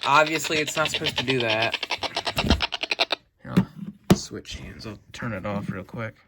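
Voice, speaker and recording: A man's voice: medium pitch, measured pace. A man giving a casual, unscripted product review, talking in a rambling, hesitant way at a desk. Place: indoors at a desk. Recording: clear background noise, homemade video.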